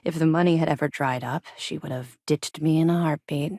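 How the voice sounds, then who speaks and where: A woman playing a disciplined, no-nonsense military officer in a sci-fi video game, speaking in a crisp, formal, businesslike way. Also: deep voice, fairly even intonation, fairly quick, warm tone, no echo, studio quality. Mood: reflective. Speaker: military officer briefing the player. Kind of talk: scripted video game dialogue.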